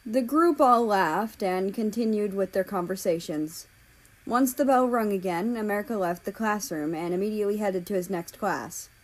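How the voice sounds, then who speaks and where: A woman's voice: mid-range voice, moderate pace. A woman narrating fan fiction in an audiobook style, acting out the dialogue between passages.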